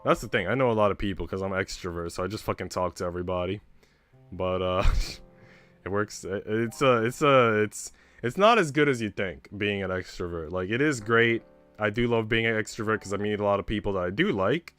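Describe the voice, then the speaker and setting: Man, low smooth voice, livestream host, chatty and candid, casual chat, music in the background. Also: a little fast, a bit bright.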